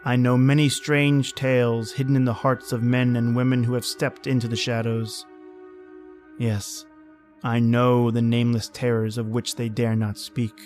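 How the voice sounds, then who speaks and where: A man's voice: medium pitch, little pitch movement, measured pace, a little mellow, close to an everyday voice. Man playing a sinister all-knowing radio narrator over music. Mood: ominous. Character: the Whistler, sinister all-knowing male narrator of dark tales. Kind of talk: old-time radio horror narration.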